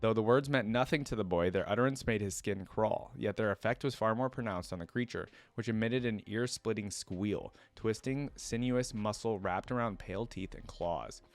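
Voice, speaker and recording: A man's voice: fairly low pitch, moderate pace, few pauses, dry recording. A man hosting a reaction video, reading a fantasy story aloud and breaking off for casual comments.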